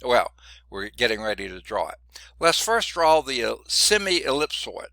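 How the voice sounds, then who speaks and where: A man's voice: medium pitch, sing-song, a little slow, creaky, bright, forceful tone, dry recording. A middle-aged man teaching a college math class, chatty and digressive, sounding congested and often checking in with "okay?". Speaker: math instructor. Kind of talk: classroom lecture.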